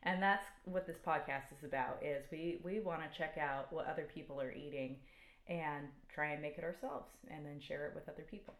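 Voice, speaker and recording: Low-voiced female podcast co-host. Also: fairly quick. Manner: thoughtful, hesitant with fillers. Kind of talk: casual podcast chat. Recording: echoey room.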